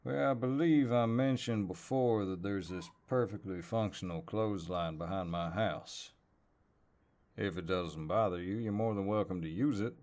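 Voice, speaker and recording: A very low-voiced Southern cowboy, acted by a man, speaks in an unhurried, folksy drawl. He is an easygoing, playful neighbor who flirts and teases gently in an intimate audio roleplay. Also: lightly acted. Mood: warm, teasing. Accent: American Southern. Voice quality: deep.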